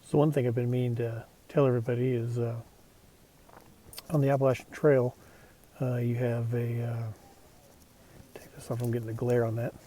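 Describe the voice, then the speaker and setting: A man's voice: mid-range voice, flat delivery, normal speed, long pauses, warm tone, slight background noise. A man with a Texas accent talking casually to camera while out on a mountain trail, rambling with lots of fillers. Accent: Texan. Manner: rambling, easygoing, with frequent fillers. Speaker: thru-hiker vlogging his hike. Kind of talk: casual vlog narration.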